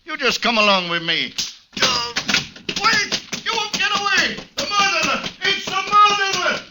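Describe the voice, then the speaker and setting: A man's voice: very high-pitched, big pitch swings, unhurried, over loud music, heavily acted. An actor playing a heavy, older policeman, bullying and suspicious, in an old radio drama with a noisy recording. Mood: shouting alarm. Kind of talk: old-time radio acting.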